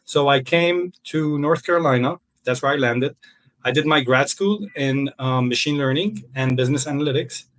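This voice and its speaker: A young man from Lebanon joins the podcast over a video call. He talks at an ordinary middle pitch, searching for his words with frequent fillers and restarts. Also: moderate pace, fairly bright tone, rough recording. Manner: hesitant, many fillers and restarts. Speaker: podcast guest. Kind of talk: casual interview.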